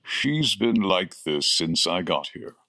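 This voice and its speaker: A man's voice: sits low, big pitch swings, slow, pushed and bright, full character voice. A man playing a kindly, weary old Santa Claus in a comedic audio drama, with a hesitant, avuncular manner.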